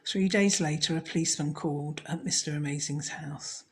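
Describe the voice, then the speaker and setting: A woman storyteller narrating a children's story in a measured, scripted read. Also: low-pitched, slight background noise.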